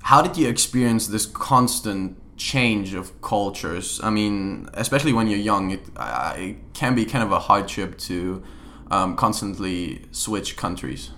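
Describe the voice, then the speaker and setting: A man with a bright, forward voice and a fairly low pitch hosts a relaxed interview. He chats easily, fills pauses with "um," and drops in quick "yeah"s while his guest talks. His questions ramble a little before they land. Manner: chatty, many "um"s and "yeah"s. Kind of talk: casual interview conversation.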